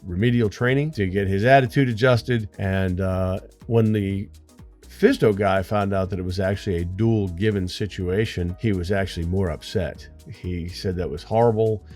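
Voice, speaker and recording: An American man with a low-pitched voice speaks as a flight instructor hosting his own channel. He tells his story conversationally and earnestly, addressing viewers directly. Faint music sits behind his voice. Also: steady tone, normal speed, a little mellow.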